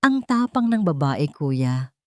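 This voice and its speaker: A woman's voice: low-pitched, very animated, slow, no room sound, studio quality, exaggerated voice. A woman voicing an earnest, deferential younger brother, serious and respectful, in a narrated romance drama. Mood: earnest, pleading.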